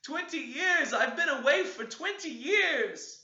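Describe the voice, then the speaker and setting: Guy doing a sobbing little ghost girl, way high and slow, echoey. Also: lively intonation, bright, forceful tone, highs cut off, exaggerated voice. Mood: mournful. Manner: sobbing, drawn-out pleading cries. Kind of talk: audiobook reading.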